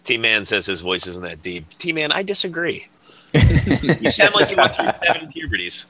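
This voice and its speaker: Bright-voiced wisecracking man. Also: medium pitch, very animated, moderate pace, low-quality recording. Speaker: podcast co-host. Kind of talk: casual podcast banter.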